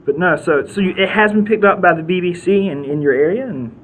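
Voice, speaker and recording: A man's voice: high voice, fast, slight background noise, rough recording. A man hosting an interview, rambling and joking playfully with lots of fillers. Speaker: interview host. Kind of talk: casual interview chat.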